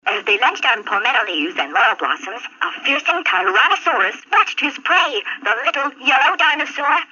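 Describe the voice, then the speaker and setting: A woman's voice: high voice, very animated, moderate pace, bright, forceful tone, breathy edge. A woman narrating a scripted children's story, on a muffled 1970s talking toy recording.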